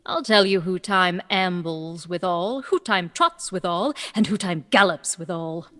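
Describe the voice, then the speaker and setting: A woman's voice: on the low side, measured pace, a bit bright, big-room sound. A woman playing a witty, lovestruck young woman disguised as a youth in a Shakespearean radio play, eager and playful. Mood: teasing, witty. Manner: eager, playful, theatrical verse-like delivery.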